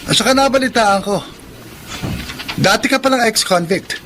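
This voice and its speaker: High-voiced, confrontational young man. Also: moderate pace, a bit bright, slight background noise. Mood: menacing. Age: young adult. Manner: confrontational, emphatic. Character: haughty, jealous young son, shaken by adoption news. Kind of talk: Tagalog radio drama acting.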